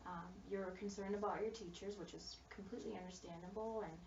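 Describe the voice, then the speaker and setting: Brief: soft-spoken woman counselor, encouraging, lots of "okay" and "sure"; muffled, roomy recording with faint hiss. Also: mid-range voice, steady tone, fairly quick. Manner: gentle, reassuring, frequent fillers and affirmations. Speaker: school social worker. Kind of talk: counseling role-play. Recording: distant, roomy, dull recording.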